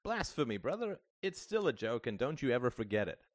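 A man's voice: medium pitch, big pitch swings, a little fast, close to an everyday voice. A man voicing a sarcastic, irritable young man who mocks and rants. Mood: mocking, uneasy. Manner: mocking, ranting.

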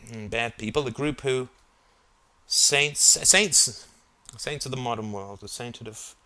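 A man voicing a brooding, sardonic young boy band singer who talks in halting, musing phrases as in an audiobook. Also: medium pitch, bright, forceful tone, exaggerated voice. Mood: musing, hesitant. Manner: halting, muttering, thinking aloud.

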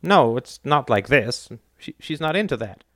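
A man's voice: medium pitch, animated, no echo, studio quality, pitched above the performer's own voice, lightly acted. A man reading aloud and voicing a timid grown son who stammers and hesitates when defending himself. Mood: hesitant. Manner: halting, stammering.

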